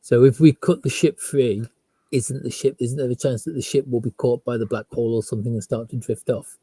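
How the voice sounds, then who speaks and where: A man's voice: mid-range voice, fairly even intonation, a little fast, mellow, breathy edge, no room sound. A man playing a tabletop roleplaying game, reasoning aloud in casual discussion and rephrasing himself as he goes.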